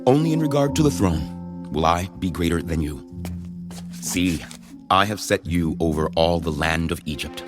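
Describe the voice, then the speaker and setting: A man's voice: low voice, lively intonation, normal speed. A man playing a commanding Pharaoh of ancient Egypt in a stately, declamatory dramatized audio Bible performance. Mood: proclaiming. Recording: studio audio drama with music underneath.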